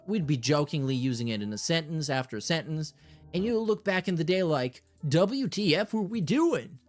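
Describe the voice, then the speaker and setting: A man's voice: fairly high pitch, expressive, normal speed, soft background music. A man doing casual reaction commentary and reading messages aloud, in a slangy, mocking, sweary way. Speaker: streamer and commentator.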